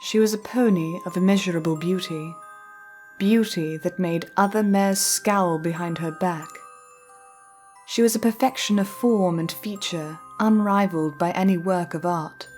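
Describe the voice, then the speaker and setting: Low-voiced woman narrating a story. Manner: measured, deliberate phrasing. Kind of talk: scripted dramatic story narration. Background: soft music.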